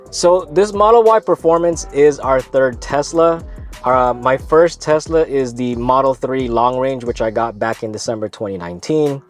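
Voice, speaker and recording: A man's voice: mid-range voice, measured pace, faint music behind. A man with a stuffy, congested voice, hosting his own video in an easygoing, rambling way. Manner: easygoing, rambling with self-corrections. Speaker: vlog host. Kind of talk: casual talk to camera.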